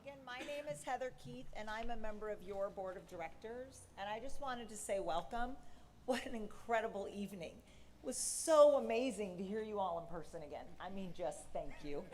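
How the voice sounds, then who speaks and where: A woman board member addressing a congregation at a service, heartfelt and informal with a few halting restarts. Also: medium pitch, animated, darkish tone. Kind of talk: warm welcome and poem reading at a service. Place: synagogue sanctuary, in person. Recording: long hall reverb, faint background noise.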